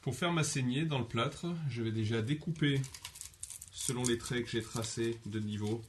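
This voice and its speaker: A man calmly narrating a home improvement job step by step, describing each action as he goes. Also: mid-range voice, moderate pace, a little hiss or hum. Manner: methodical, explains each step in first person. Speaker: DIY instructor.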